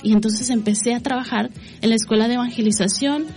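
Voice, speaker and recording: A woman's voice: a little high, steady tone, fast, warm tone, faint music behind. A middle-aged woman telling her personal testimony in an interview, earnestly, voicing her own remembered thoughts as she goes. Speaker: interview guest, lay evangelization worker.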